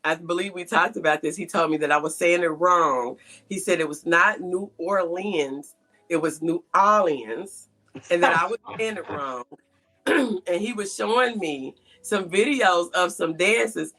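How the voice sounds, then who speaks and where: A woman hosts a casual interview in a forceful, bright voice with a heavy fry in it. She talks informally and circles back to reword what she is asking. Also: on the low side, unhurried, rough recording. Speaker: host.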